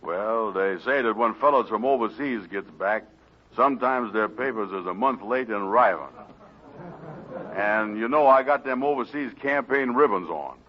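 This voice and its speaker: A low-voiced, bumbling bluffer stammers his way through every question, as a man acts him out. The sound is thin and tinny, like an old radio broadcast with faint hiss behind it. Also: moderate pace, close to an everyday voice. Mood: explaining. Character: bumbling smooth-talking man posing as soldier. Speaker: Andy, comic lead. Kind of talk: 1940s radio comedy acting.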